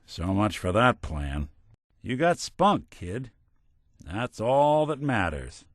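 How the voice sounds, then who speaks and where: Weary american noir detective android for a game, low voice, really expressive up and down, wry wisecracks. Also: male voice, slow, no room sound, studio quality. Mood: firm, streetwise. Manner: wry, streetwise, old-fashioned gumshoe slang. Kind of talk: video game voice acting in 1940s film noir detective manner.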